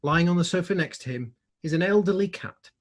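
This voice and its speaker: A man's voice: sits high, brisk. A man reading stage directions as scripted narration.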